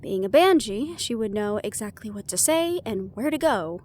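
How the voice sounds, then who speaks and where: A woman's voice: medium pitch, lively intonation, moderate pace, a little mellow, close to an everyday voice. A woman playing a worried, wry half-banshee in an intimate fantasy audio roleplay, confiding and musing as she talks. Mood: wistful, resolute. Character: young female half-banshee siren, worried, wry, secretly vulnerable. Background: background music.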